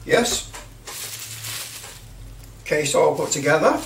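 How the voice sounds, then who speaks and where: A man's voice: a little high, animated, takes it slowly. Man in a roomy, distant recording. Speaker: hobbyist presenter. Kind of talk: casual hands-on workbench commentary. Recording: roomy, distant mic with light noise.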